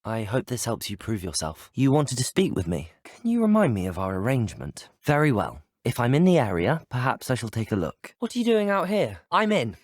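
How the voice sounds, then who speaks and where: Fantasy game hero: teenage British wizard student, courteous, self-assured, inquisitive, expressive intonation, close dry recording. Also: male voice, medium pitch, a little fast, few pauses, no room sound. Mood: upbeat, confident. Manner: courteous, well-spoken, formal phrasing. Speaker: player character in a fantasy video game. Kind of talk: scripted video game voice acting.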